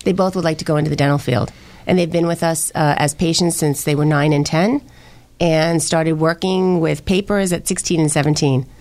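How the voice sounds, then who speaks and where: A woman with a slightly low voice, talking as a panel guest. She is blunt and playful, telling stories in a casual, chatty way. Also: fairly even intonation, normal speed, a little mellow. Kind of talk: casual anecdotal chat.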